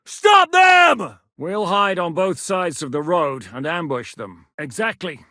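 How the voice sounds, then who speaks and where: An actor playing a gruff, blunt medieval war captain who shouts battle orders. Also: male voice, sits high, very animated, slow pace, no echo, clean studio sound, full character voice. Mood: shouting orders. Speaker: military commander. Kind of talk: game voice lines.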